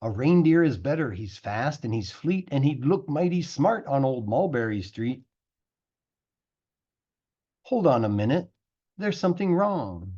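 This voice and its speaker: A man reading a rhyming picture book aloud as a teacher would, with animated, theatrical delivery and drawn-out words. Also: mid-range voice, slightly rough, dull audio. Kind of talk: expressive rhyming storybook narration.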